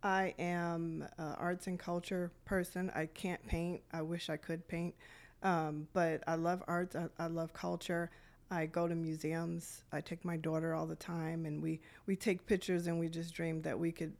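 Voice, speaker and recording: A woman's voice: on the low side, creaky, darkish tone. A middle-aged woman from Detroit, a youth programs director, telling her life story in a podcast interview, reflective and full of fillers.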